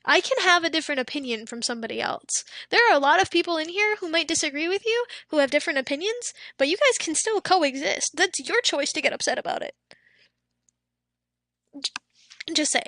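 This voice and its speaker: High, bright young woman chatting on stream. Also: lively intonation, brisk, no room sound. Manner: chatty, reads out chat, trails into soft whispery asides. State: losing her voice, throat a bit off. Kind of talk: casual chat with live stream viewers.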